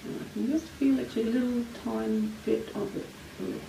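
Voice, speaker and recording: A woman's voice: fairly high pitch, steady tone, fairly quick. A middle-aged woman giving casual answers as a client in a session. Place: meeting room with glass wall, indoors. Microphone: distant camera mic. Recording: echoey room, distant mic, clear background noise.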